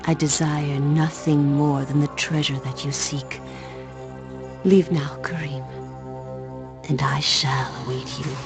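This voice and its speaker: An actress playing a seductive, scheming woman in a video game, with a slow, controlled, theatrical delivery. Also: low-pitched, fairly even intonation. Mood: seductive, commanding. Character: seductive, scheming woman, later ghastly and ravaged. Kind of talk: video game cutscene acting. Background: music.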